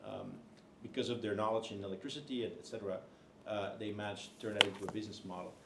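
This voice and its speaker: A man's voice: on the low side, fairly even intonation, fast talker. A man speaking as a co-author on a panel, hesitant, with many fillers and restarts. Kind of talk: panel discussion. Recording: echoey hall, faint noise.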